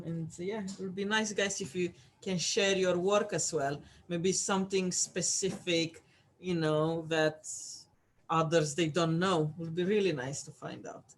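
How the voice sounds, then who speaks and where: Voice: medium pitch, a little hiss or hum. An art class instructor from Romania, talking viewers through a craft project in a casual, hesitant way with lots of fillers. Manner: hesitant, many fillers, chatty asides to viewers.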